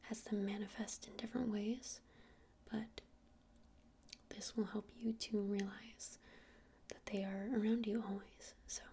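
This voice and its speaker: A woman playing a friendly witch shopkeeper in an ASMR roleplay, speaking in a soft breathy whisper with hesitant, informal explanations. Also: mid-range voice, little pitch movement, measured pace. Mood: gentle, helpful.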